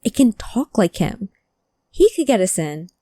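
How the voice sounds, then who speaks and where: A woman acting an earnest, emotional hacker searching for a lost friend in a cyberpunk audio drama, with halting delivery. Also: mid-range voice, very animated, mellow, dry recording, clean studio sound. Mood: urgent. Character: distressed, determined hacker woman seeking her lost friend.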